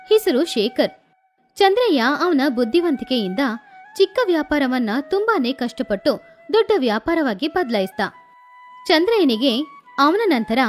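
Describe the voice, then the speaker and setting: A woman giving scripted narration for a children's moral story in a steady storytelling manner, with faint music behind her. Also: high voice.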